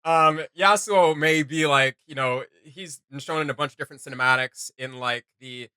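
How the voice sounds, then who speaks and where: Bright-voiced man, rambling fan commentary. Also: on the high side, moderate pace, clean tone, dry recording. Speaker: reaction video host.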